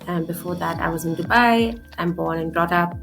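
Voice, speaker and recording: A woman's voice: lowish voice, moderate pace, gravelly, music in the background. Indian-born woman in her forties, marketing guest. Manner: thoughtful, with frequent fillers. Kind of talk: interview answers.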